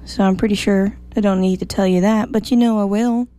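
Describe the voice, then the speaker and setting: A woman hosting a morning radio show, slightly hoarse, chatty and upbeat as she reads the weather. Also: medium pitch, fairly even intonation, brisk, warm tone, no echo. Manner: chatty, upbeat, self-joking. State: a little hoarse.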